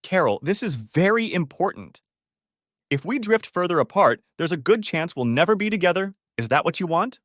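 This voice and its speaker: A man's voice: sits high, big pitch swings, normal speed, fairly bright tone, no echo, studio quality, voice pushed up. Man acting out a fed-up, sarcastic husband. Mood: angry, fed up.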